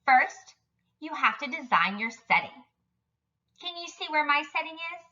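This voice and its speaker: A woman teaches young children in careful, simple phrases at an unhurried pace. Her delivery swings up and down in pitch. The recording is a little dull, with the mic at some distance. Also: animated, bright, forceful tone. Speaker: elementary school teacher. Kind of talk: instructional video lesson for young children.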